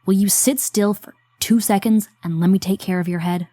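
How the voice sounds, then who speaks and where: A woman's voice: medium pitch, fairly even intonation, normal speed, dark tone, no room sound, natural voice. An actress playing an exasperated young woman scolding a friend in an audio drama, sarcastic and protective.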